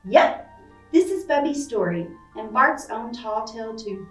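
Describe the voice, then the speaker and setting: A woman reading a picture book aloud to children, expressive and playful, with chatty asides and questions to her young listeners. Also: fairly high pitch, a little slow, echoey room, distant mic, faint music behind. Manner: expressive, playful, acting out sound effects. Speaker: library storytime reader.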